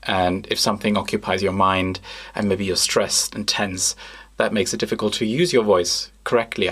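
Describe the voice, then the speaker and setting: A man's voice: lowish voice, fairly bright tone. A man hosting a live podcast interview, asking long, rambling questions full of fillers. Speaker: podcast host.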